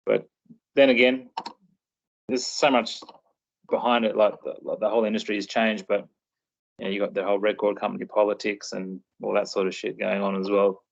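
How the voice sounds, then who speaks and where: Male podcast host, relaxed and rambling, gravelly voice on a dull recording. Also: fairly low pitch, brisk. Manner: laid-back, loose and rambling. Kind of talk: casual chat.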